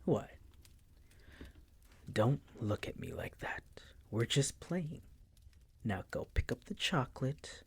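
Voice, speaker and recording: A man playing a possessive, coolly menacing vampire master in an intimate audio roleplay, teasing and condescending in a breathy, gravelly whisper. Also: medium pitch, huge pitch swings, darkish tone, full character voice. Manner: slow, condescending, teasing, coaxing.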